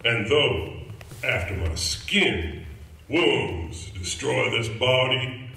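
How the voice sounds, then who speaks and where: A man's voice: fairly low pitch, pushed and bright, exaggerated voice. An actor playing a solemn clergyman reciting a funeral service on stage in a grave, declamatory manner. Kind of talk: theatrical scripture recitation. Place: indoor stage, recorded from afar. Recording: cavernous echo, distant mic.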